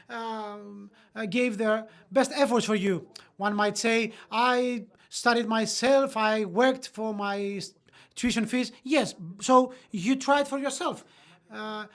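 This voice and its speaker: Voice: medium pitch, steady tone, measured pace. Motivational voice-over speaker. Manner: earnest, with hesitant fillers and restarts. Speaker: voice-over interpreter of a motivational webinar. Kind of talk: motivational talk, interpreted into English.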